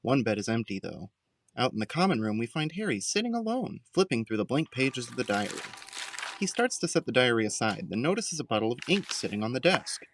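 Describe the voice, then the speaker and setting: A man's voice: mid-range voice, sing-song, a little fast, noisy background. A man narrating a scripted audio drama, describing the scenes as a storyteller.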